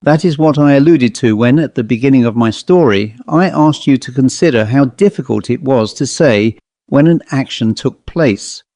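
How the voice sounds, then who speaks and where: A man voicing a calm, philosophical gentleman who recounts an uncanny experience in deliberate, articulate reasoning. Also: medium pitch, no echo, natural voice. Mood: philosophical, assured.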